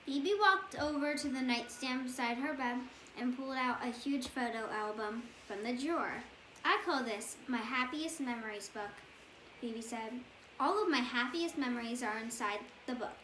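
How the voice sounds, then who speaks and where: A woman's voice: high voice, a bit bright. A woman reading a bedtime story aloud, stumbling a little over words and correcting herself. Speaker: storybook reader. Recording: echoey room with faint background noise.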